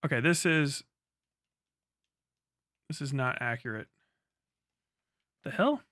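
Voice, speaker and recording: A man's voice: mid-range voice, expressive, slow pace, bright, forceful tone, dry recording, studio quality. A man live-streaming, chatting casually with viewers in a rambling, jokey, sweary way. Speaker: livestreamer.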